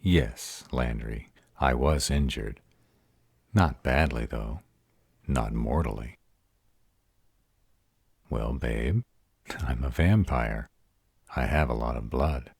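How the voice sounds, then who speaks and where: A man playing an arrogant, protective vampire lover in a roleplay audio drama, husky and deliberate. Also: darkish tone, dry recording, studio quality, full character voice. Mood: puzzled, serious. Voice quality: husky, very deep voice. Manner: haughty, deliberate delivery.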